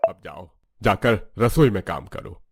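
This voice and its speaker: A man's voice: low voice, expressive, a little slow. A man voicing a stern, old-fashioned elderly father-in-law in a cartoon, with an exasperated, commanding manner. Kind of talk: Hindi moral cartoon voice acting.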